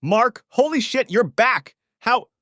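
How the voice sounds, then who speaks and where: A man's voice: very high voice, big pitch swings, slow pace, fairly bright tone, no room sound, clean studio sound, voice pushed up, exaggerated voice. A man voicing a guilty male best friend who sounds flustered and pleading.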